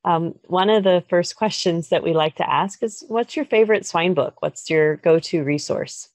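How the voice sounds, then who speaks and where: A woman hosting a podcast interview, asking questions in a measured, polite, conversational way. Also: fairly low pitch, a little mellow, no room sound. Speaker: podcast host.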